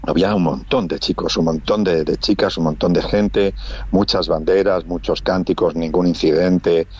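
A low-voiced man from Spain chats through his report, often restarting and filling pauses. The sound is muffled and lo-fi, like radio audio. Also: steady tone, darkish tone, husky. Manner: conversational, many restarts and fillers. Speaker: radio correspondent.

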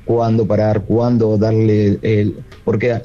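Argentine man, halting with fillers, dark tone, dull lo-fi phone sound. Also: on the low side, level tone. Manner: hesitant, halting, with many fillers and restarts. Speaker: guest songwriter, plumber. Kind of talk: phone interview.

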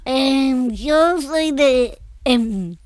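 A woman in her forties from Argentina giving simple, halting answers as an interview guest. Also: high voice, lively intonation, darkish tone, no echo. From Argentina, Buenos Aires. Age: 40s. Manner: hesitant, halting, short answers.

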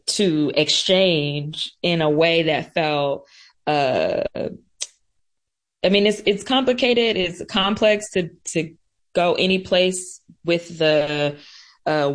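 A woman's voice: deep voice, takes it slowly. A woman with an American accent speaking as an interview guest, reflective and thoughtful, searching for her words. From coastal North Carolina, US. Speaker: interview guest, farmer and florist.

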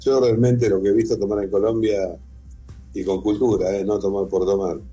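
A middle-aged Argentine man speaks in a very dark, rough and husky voice, on the low side. He answers interview questions conversationally, with music playing behind him. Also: level tone, moderate pace. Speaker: interview guest, whisky museum founder and collector.

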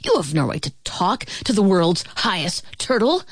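A woman's voice: mid-range voice, sing-song, moderate pace, slightly rough, dry recording, clean studio sound. A woman voicing a pompous, bossy male turtle king, barking and bellowing in a storybook read-aloud. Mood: indignant, defiant.

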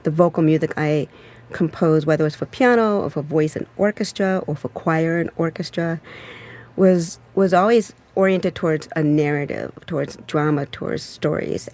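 A woman's voice: low-pitched, steady tone, moderate pace, darkish tone. Thoughtful woman composer in interview. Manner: thoughtful, explanatory. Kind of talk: interview answers.

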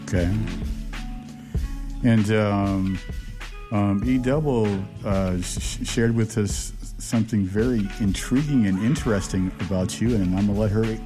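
Easygoing male interview host, low voice. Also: measured pace, music in the background. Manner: easygoing, encouraging. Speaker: podcast host. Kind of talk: casual interview.

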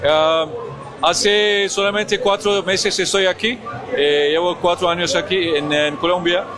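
A man with a Turkish accent answers an interview slowly and haltingly, stopping often to search for words. His voice sits fairly high for a man. A busy crowd murmurs behind him at an outdoor event. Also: fairly even intonation. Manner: halting non-native phrasing with many pauses. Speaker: ambassador guest.

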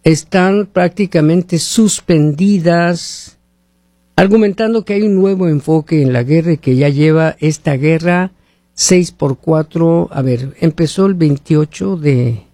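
Gravelly Spanish-speaking radio talk host from Mexico. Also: male voice, a little high, a little slow, mellow, no echo. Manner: chatty, rambling, asks listeners rhetorical questions. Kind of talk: talk radio commentary with live ad reads. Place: living in the United States.

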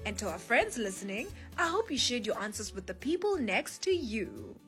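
A woman's voice: fairly high pitch, huge pitch swings, normal speed, a bit bright, soft background music. A South African woman hosting a children's show, giving a scripted lesson and addressing listeners as friends. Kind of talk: scripted educational presenting for children.

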